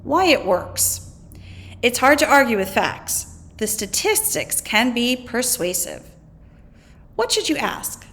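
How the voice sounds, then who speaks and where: A woman, a teacher reading scripted educational text aloud in an expressive way. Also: a little high, slow pace. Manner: expressive, acts out quoted slogans.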